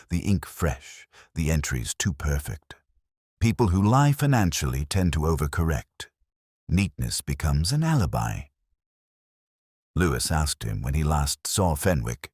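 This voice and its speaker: A man reading first-person detective fiction in a measured, literary, melancholic and introspective manner. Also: a bass voice, very animated, a little mellow, breathy edge, no room sound, clean studio sound. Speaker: narrator of a detective story. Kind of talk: first-person scripted mystery narration.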